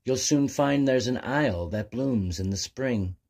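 A man's voice: mid-range voice, unhurried, creaky, a little mellow, voice pushed up. A man voicing a friendly, generous male seal who speaks encouragingly in a children's storybook. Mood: wise, encouraging.

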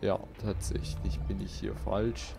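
A man's voice: fairly low pitch, flat delivery, measured pace, creaky. A man giving casual let's play commentary, muttering and grumbling to himself. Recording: loud game music and effects behind. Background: video game music and sound effects.

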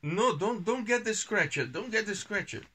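Husky middle-aged father chatting casually at home. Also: high-pitched, animated, normal speed, bright, forceful tone. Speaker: father helping his young son read. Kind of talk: casual family chat. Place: child's bedroom at home, indoors.

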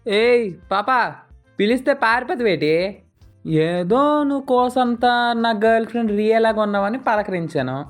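A woman voicing a cheeky, flirtatious male pet dog with cocky, rustic speech in an animated story. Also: fairly high pitch, moderate pace, soft background music, lightly acted. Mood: flirty. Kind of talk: animated moral story voice acting.

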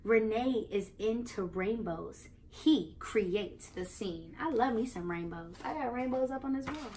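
A young American woman reading a picture book aloud to children, expressively, pausing to explain and ask the young listeners questions. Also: fairly high pitch, expressive, mellow, a little hiss or hum. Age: young adult. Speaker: children's read-aloud host. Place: living room, on a couch.

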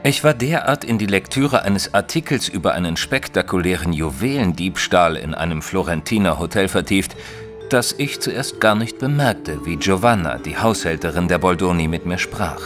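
A deep-voiced bookseller storyteller. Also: male voice, animated, fairly bright tone. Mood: measured storytelling. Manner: measured, literary storytelling. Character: eager bookseller narrating his detective adventures, 19th century. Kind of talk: scripted first-person audio-drama narration. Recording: studio voice over light music.

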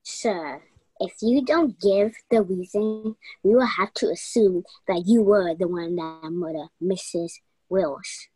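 A woman's voice: lowish voice, takes it slowly, dark tone, husky, no room sound, low-quality recording. A young woman playing an insistent murder investigator in an amateur radio play. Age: young adult.

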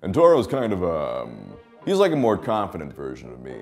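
A young man who works as a voice actor, talking to camera about his craft in a relaxed, animated way and breaking into voices mid-sentence. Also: low-pitched, moderate pace, soft background music. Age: young adult. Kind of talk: explaining voice acting to camera. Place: indoor studio with plain backdrop.

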